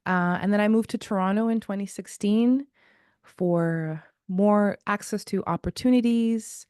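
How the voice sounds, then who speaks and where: A woman in her thirties from Zimbabwe, a jazz singer as a guest, telling her story in a reflective, unhurried way. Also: medium pitch, darkish tone, clean tone, dry recording, studio quality. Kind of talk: casual interview.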